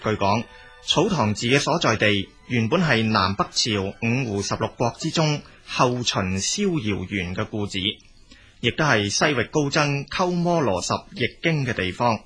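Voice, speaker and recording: A man with a Cantonese accent reading scripted documentary narration in a measured, explanatory storytelling manner. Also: mid-range voice, expressive, fairly bright tone, faint music behind. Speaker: narrator of a history and culture programme.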